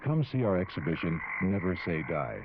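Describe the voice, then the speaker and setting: A man reading a scripted public service announcement as a radio announcer, earnest and measured. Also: low voice, sing-song, background noise. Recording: muffled radio spot, loud background sounds. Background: underwater whale recordings.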